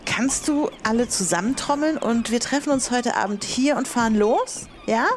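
A woman's voice: a little high, normal speed, slight background noise, close to an everyday voice. A woman playing a purposeful, slightly grand pirate captain in an improvised audio adventure, with a commanding way of talking. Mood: brisk.

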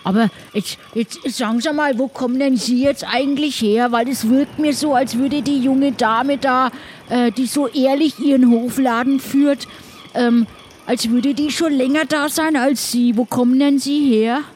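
A woman playing an earnest, chivalrous pirate adventurer, chatty and halting, in an improvised adventure audio play. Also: high-pitched, fairly even intonation, a little mellow, slight background noise. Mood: inquisitive, scheming.

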